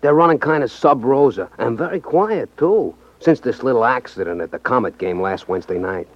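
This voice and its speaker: An American actor playing a sly, slangy small-time card sharp with a cagey, streetwise manner in a 1950s radio drama. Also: male voice, medium pitch, animated, natural voice. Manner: streetwise, cagey, hesitant drawn-out phrases. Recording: muffled 1950s radio recording.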